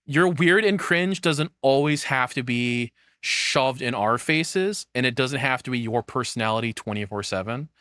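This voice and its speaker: A man's voice: medium pitch, moderate pace, hardly any pauses, a bit bright, dry recording. A man streams casual reaction commentary in a mid-range voice. He rambles off the cuff and swears freely. The sound is dry, with very little room in it.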